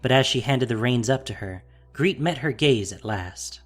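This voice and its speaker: A man's voice: medium pitch, animated, moderate pace, faint music behind. A man narrating a fantasy audio drama from a script, as its storyteller.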